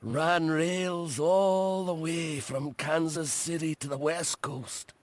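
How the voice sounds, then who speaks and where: Slow-talking, knowing man. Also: a little high, fairly even intonation, dry recording. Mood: knowing, dry. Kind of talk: video game cutscene acting.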